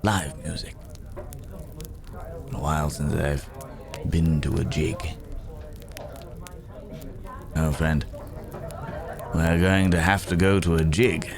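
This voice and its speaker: A man acts out a weary werewolf wanderer in an intimate ASMR roleplay. His voice is extremely low and he speaks slowly, musing in hesitant, broken phrases like a philosophical travelling companion. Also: exaggerated voice. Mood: warm, playful.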